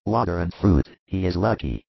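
A man's voice: low-pitched, lively intonation, moderate pace, gravelly, breathy edge, no echo, dull audio, pitched below the performer's own voice, exaggerated voice. A man voicing a compassionate, worldly-wise former tax collector with a pitying manner.

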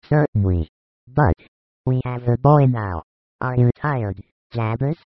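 Man acting kindly holy master, medium male pitch, measured, solemn, audiobook drama, close dry sound. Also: expressive, dry recording, narrow, tinny audio. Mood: fond.

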